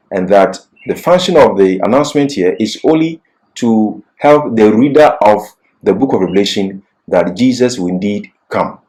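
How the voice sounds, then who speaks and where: A man's voice: on the low side, a little mellow, low-quality recording. A man giving an expository Bible lecture as a teacher, repeating key phrases for emphasis.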